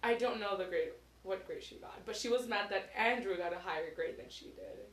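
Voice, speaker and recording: A woman's voice: mid-range voice, a little fast, echoey room. A young woman guest on a podcast, giggly and hesitant as she tells a story. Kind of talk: casual chat.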